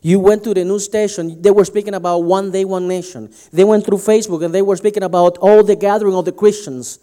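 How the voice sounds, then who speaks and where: A man's voice: sits high, fairly even intonation, fairly quick, dark tone. A man from Latin America preaching a sermon, emphatic and often restarting his sentences. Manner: emphatic, restarts and stumbles over words.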